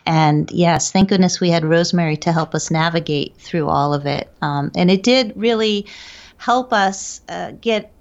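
A woman's voice: on the low side, moderate pace, clean tone, lo-fi sound. A woman speaking as an interview guest, recounting her own paranormal experiences.

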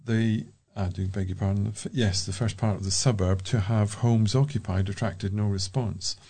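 Guy reading local news, mellow dark tone, a bit low. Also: steady tone, moderate pace, slightly rough. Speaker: volunteer newsreader. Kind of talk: read-aloud local news.

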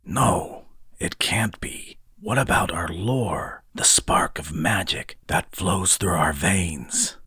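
A man voicing an earnest, devout young halfkin brother who pleads in a hushed whisper. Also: low-pitched, expressive, takes it slowly, husky, no echo, clean studio sound, exaggerated voice. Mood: pleading. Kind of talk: audiobook character voice.

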